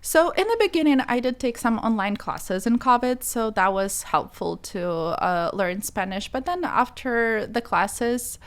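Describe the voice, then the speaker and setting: A woman's voice: on the high side, animated, unhurried, hardly any pauses, clean tone. Ukrainian woman, 30s, casual talker full of "like"s, resonant clean tone. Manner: chatty, many "like" fillers, laughs often. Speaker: immigration lawyer guest. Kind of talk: casual interview chat.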